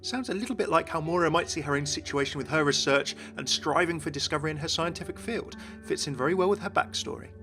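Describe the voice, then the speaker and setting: A man's voice: on the high side, fast, few pauses, sharp tone. A man presenting game lore as an enthusiastic scripted video narration. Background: music.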